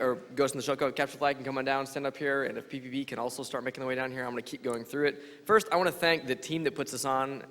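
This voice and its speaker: A man presenting his hacking contest on stage, talking fast in a casual, enthusiastic way. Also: mid-range voice, fairly bright tone. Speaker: capture the flag organizer. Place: large conference hall. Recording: reverberant conference hall.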